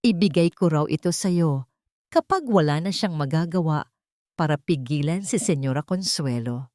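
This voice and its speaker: A woman's voice: deep voice, expressive, moderate pace, a little mellow, no room sound. Elderly Filipino driver played by a female narrator, urgent and nervous, audiobook drama, close dry recording. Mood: urgent, confiding. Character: anxious, urgent old male family driver.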